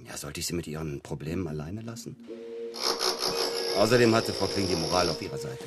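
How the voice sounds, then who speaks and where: An actor playing a self-assured male psychotherapist in a German radio crime drama, measured, condescending and dryly ironic. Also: low-pitched, animated, very noisy. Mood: dry, guarded.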